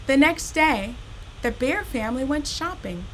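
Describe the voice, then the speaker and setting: A woman hosting a storytime, reading a children's story expressively and speaking directly to young listeners in an animated, unhurried way. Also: sits high, a little hiss or hum, rough recording. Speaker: storytime host reading a children's picture book.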